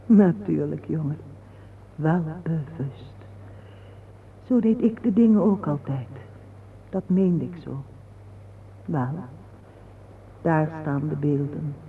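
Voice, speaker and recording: A patient, grieving parent in an old radio play. The voice sits fairly high and speaks in solemn, measured, poetic phrases. The sound is narrow and phone-like, with faint noise. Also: male voice, creaky. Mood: gently knowing. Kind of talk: radio drama acting.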